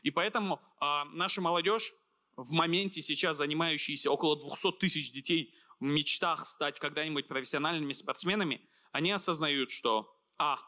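A man in his thirties from Dagestan, a writer and guest, speaking earnestly and thoughtfully in an interview, pausing with fillers. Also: fairly high pitch, bright, forceful tone. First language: Avar. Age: 30s. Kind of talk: reflective interview conversation.

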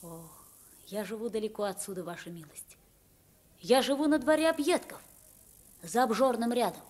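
A woman's voice: a little high, moderate pace, natural voice. A woman playing a poor, eager and deferential young London street boy in a radio play. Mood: timid, respectful. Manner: polite and deferential, animated when describing games. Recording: vintage 1950s radio play recording.

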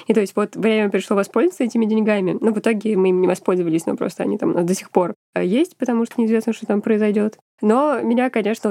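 Female podcast host, thirties, congested nasal tone, mellow and dry-recorded, chatty and wry. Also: medium pitch, no room sound. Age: 30s. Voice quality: nasal, stuffy from a cold. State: congested with a lingering cold. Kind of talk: casual podcast chat.

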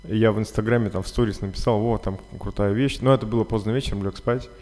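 Podcast host, male, lowish voice, slangy animated storyteller, long reverb. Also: fast talker. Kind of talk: casual conversational interview.

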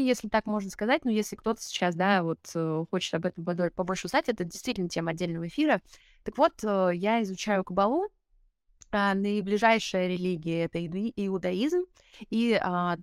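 A woman's voice: mid-range voice, lively intonation, fairly quick, clear, resonant tone, no room sound, studio quality. A woman in her twenties, a guest in an interview, talking reflectively with many fillers and restarts. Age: 20s. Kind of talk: casual interview talk.

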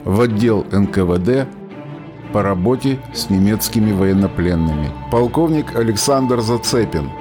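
Low-voiced man narrating a radio drama. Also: lively intonation, moderate pace, music in the background.

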